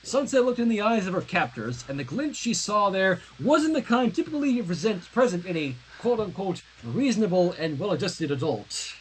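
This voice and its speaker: Husky, very animated fan fiction reader. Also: medium pitch, normal speed, hardly any pauses, low-quality recording. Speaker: host reading fan fiction aloud on a livestream. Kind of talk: dramatic reading of fan fiction with character voices.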